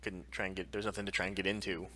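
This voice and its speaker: Male podcast co-host, lowish bright voice, halting casual chat, a bit off-mic. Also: flat delivery. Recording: distant from the microphone.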